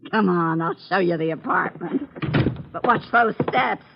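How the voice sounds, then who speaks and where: Gravelly, brassy radio landlady. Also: fairly low pitch, unhurried, noisy background. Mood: flattered, flirty. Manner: blunt, slangy, flirtatious. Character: brassy, slangy middle-aged landlady, easily flattered. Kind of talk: 1940s radio acting. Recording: dull lo-fi old radio recording.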